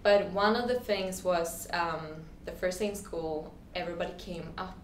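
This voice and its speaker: A woman's voice: lowish voice, moderate pace. A woman in her twenties from Sweden, a guest telling her story in a casual interview, chatty and full of "like" and restarts, often acting out what people said. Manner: chatty, lots of "like" and restarts, acts out quotes. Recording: echoey room, faint ambient noise.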